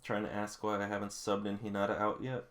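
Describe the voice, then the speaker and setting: A man reading the part of a measured, strategic male volleyball coach in a manga, explaining things calmly. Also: lowish voice. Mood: calm, considering.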